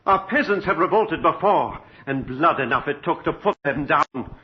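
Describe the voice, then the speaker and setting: Casting: male actor as pious, appalled lord, highish voice, grave dramatic delivery, vintage radio horror, muffled sound. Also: lively intonation, normal speed, close to an everyday voice. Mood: indignant alarm. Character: horrified, pious nobleman lord. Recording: dull, noisy old radio recording.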